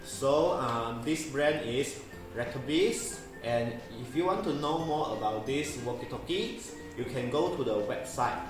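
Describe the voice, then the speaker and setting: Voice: mid-range voice, measured pace. A parent hosting a casual toy unboxing, repeating words and phrases and putting simple teaching questions to a child. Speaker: parent and vlog host presenting a toy review. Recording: echoey room with loud background music. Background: music.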